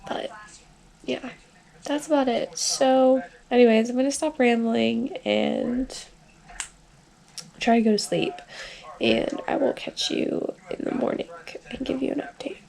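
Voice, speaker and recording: A woman with a congested, sick-sounding voice talks to her camera in a rambling video diary. Her voice sits a little high and has a gravelly, fry-heavy edge. She speaks slowly and leans on filler words. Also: a little mellow, rough recording. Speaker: vlogger. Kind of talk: casual personal video diary.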